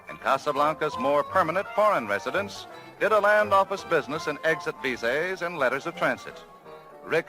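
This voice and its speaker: A man's voice: on the high side, poor audio. A man announcing and narrating formally in the style of a 1940s radio broadcast. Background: music.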